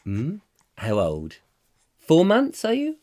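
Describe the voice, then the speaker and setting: Croaky playful male chat show host. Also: fairly high pitch, wildly swooping pitch, takes it slowly, darkish tone. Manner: playful, silly, reads viewer comments aloud. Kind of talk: comic live chat show.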